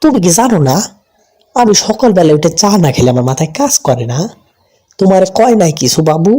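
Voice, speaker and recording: A man's voice: high-pitched, big pitch swings, a little fast. A man voicing a strict, bossy old father-in-law in a cartoon, with a harsh, scolding manner. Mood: demanding. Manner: harsh, bossy, shouting rebukes. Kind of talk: cartoon voice acting.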